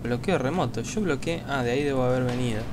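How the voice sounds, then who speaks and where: A man's voice: mid-range voice, fast, background noise. A man with a Rioplatense accent commenting casually on a game he is playing, muttering and swearing as he works things out. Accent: Rioplatense Spanish. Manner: casual, swearing, thinking aloud. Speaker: gaming video host. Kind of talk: let's play commentary.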